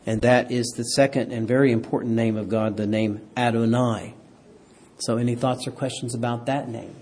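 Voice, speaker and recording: A man's voice: medium pitch, steady tone, moderate pace, a little mellow, a little hiss or hum. A man teaching a Bible class who keeps quizzing his listeners and asking them for an amen. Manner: quizzes the class, asks for amens. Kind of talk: interactive Bible lesson.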